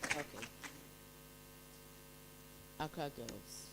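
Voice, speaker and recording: A woman acting as quiz master, reading each contest question out deliberately and then repeating it. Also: low-pitched, crowd noise, background noise. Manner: deliberate, reads each line twice.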